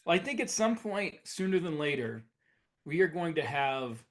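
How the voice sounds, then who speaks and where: A man with a moderately high voice speaks as a podcast co-host. He talks through speculative technical ideas casually, explaining them in measured, halting phrases. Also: very animated. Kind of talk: casual speculative tech discussion.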